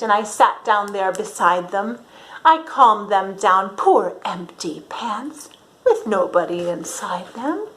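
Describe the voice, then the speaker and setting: A woman reading a storybook aloud to young children in an expressive, dramatic way, pausing to ask her listeners questions. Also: medium pitch, slight background noise, poor audio.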